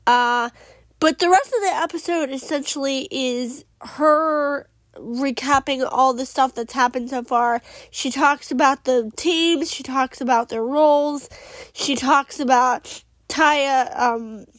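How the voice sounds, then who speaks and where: A woman's voice: sits high, slow pace, dry recording. A woman hosting a casual solo recap podcast, a little sniffly from a cold, chatty and rambling with many fillers and restarts. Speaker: podcast host.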